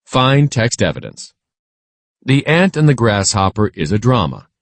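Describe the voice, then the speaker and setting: A man reading a scripted children's lesson aloud in a measured, instructional way. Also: on the low side, big pitch swings, no echo.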